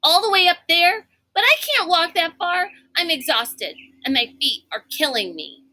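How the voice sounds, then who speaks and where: A woman's voice: extremely high pitch, big pitch swings, moderate pace, pushed and bright, pitched above the performer's own voice, heavily acted. A woman voicing a small, grumpy storybook girl who complains in a whiny, sulky way. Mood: whiny protest.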